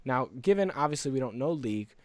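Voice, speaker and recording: A man's voice: mid-range voice, lively intonation, quick pace, a little mellow, dry recording. A man co-hosting a casual gaming podcast in an earnest, rambling way, close to the microphone. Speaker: podcast co-host.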